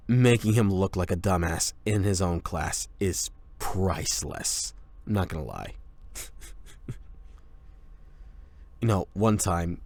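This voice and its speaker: A man playing a cocky, rebellious high school delinquent in an anime boyfriend roleplay, talking in a casual, sardonic, slangy way. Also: exaggerated voice.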